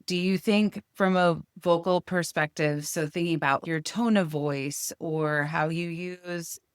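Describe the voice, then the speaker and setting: A woman's voice: fairly low pitch, steady tone, measured pace, clear, resonant tone, dry recording, studio quality. A woman hosting an interview, asking engaged, expansive questions. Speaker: host. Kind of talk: interview conversation.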